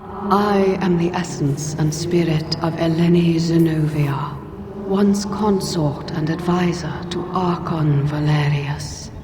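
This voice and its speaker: A woman's voice: low-pitched, fairly even intonation, slow pace. A woman playing a solemn enchanted statue of a cursed seer in a fantasy game, speaking formally and portentously. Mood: solemn, ominous. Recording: echoing, noisy game playback.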